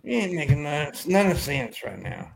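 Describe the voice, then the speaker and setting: A man with a raspy voice and an American accent, bantering casually and profanely as a livestream host. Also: a little high, sing-song, moderate pace, a little hiss or hum.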